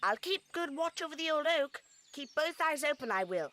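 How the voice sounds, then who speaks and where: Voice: high-pitched, very animated, normal speed, sharp tone, full character voice. An actor voicing a sentimental little mole poet, earnest and theatrical with quaint politeness, in a children's audio drama. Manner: earnest, theatrical, old-fashioned polite wording.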